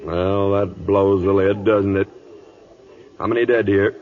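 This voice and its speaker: A man's voice: deep voice, fairly even intonation, unhurried. An actor playing a weary, stern but fatherly aging cavalry captain in an old radio drama, terse and commanding. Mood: grave, weary. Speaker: lead actor and narrator. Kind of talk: 1940s-50s radio drama acting. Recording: dull old radio recording, music behind.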